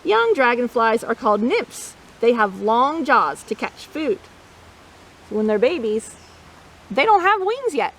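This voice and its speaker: A woman reading a nature storytime for children as host, playful and expressive, often asking her listeners questions. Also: fairly high pitch, measured pace. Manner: expressive, playful, asks listeners questions, imitates animal sounds. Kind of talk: read-aloud children's nature storytime with asides.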